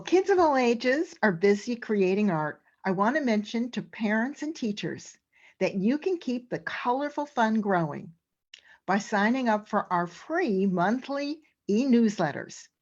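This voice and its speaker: A woman's voice: mid-range voice, darkish tone, highs cut off. A woman hosting an educational program in a scripted, encouraging and complimentary way, addressing families and teachers. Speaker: host of an educational read-along and draw-along program.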